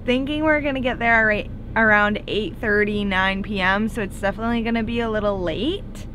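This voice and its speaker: A woman's voice: medium pitch, a little fast, sharp tone, a little hiss or hum. A woman in her twenties with an American accent, chatting casually as a travel vlogger. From Maine, United States. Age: 20s. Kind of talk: casual vlog chat.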